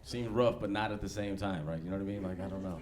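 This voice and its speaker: Laid-back, low-voiced American man doing stand-up. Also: fast, crowd noise. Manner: laid-back, slangy, profane delivery. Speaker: stand-up comedian. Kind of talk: live stand-up comedy, conversational. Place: comedy club stage. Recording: live club recording with background noise.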